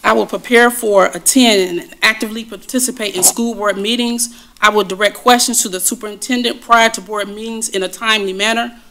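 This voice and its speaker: A woman's voice: mid-range voice, steady tone, a little fast, bright, forceful tone. A woman on a school board speaking firmly and insistently in a heated meeting, describing herself as having a strong voice.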